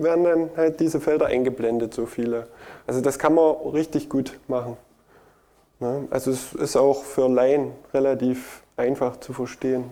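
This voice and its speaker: A man's voice: mid-range voice, dark tone. A man co-presenting at a conference and answering audience questions in a casual, enthusiastic way.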